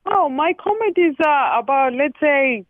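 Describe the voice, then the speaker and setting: A woman from the Congo with a Congolese accent, calling in to a radio show and speaking haltingly as she searches for words. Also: high-pitched, expressive, dry recording. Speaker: caller. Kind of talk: call-in radio conversation. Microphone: telephone. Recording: tinny phone line.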